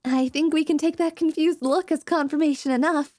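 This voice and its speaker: A woman's voice: high voice, fairly even intonation, brisk, a little mellow, no echo, studio quality, lightly acted. A woman voicing a chatty, perky young adventurer in a fantasy audio roleplay, talking casually. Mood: breezy, friendly.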